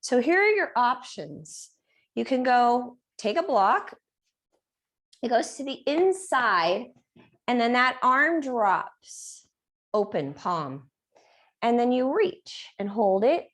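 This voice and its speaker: A woman teaching a yoga class and guiding poses step by step. She is losing her voice and keeps clearing her throat. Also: a little high, very animated, slow, a bit bright. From Reno area, United States. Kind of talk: guided yoga class instruction.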